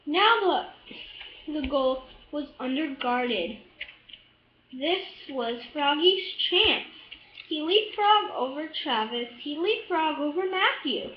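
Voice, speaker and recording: A child reading a picture book aloud in a halting, expressive way, adding little asides to the listener. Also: high-pitched, slight background noise. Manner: halting, expressive, with drawn-out words and excited shouts. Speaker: storybook reader. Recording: echoey room, slightly dull sound.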